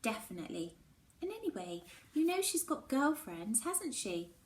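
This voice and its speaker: A woman's voice: fairly high pitch, very animated, normal speed, voice pushed up. A woman reading the part of a guarded but reassuring schoolgirl heroine in a storybook, earnest and hesitant. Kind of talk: storybook character dialogue. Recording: roomy echo with faint background noise.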